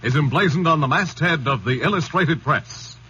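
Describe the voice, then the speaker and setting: A man's voice: on the high side, lively intonation, normal speed, bright, forceful tone, slight background noise. A man narrating a 1940s radio drama as announcer, dramatic and declamatory. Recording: muffled old radio recording with music.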